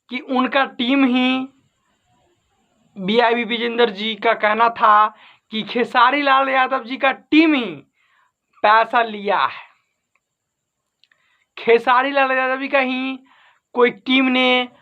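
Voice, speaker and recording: A man's voice: very high voice, creaky, pushed and bright, highs cut off. A man giving informal entertainment news commentary as an online host, repeating and rephrasing his points for emphasis. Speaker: YouTube host.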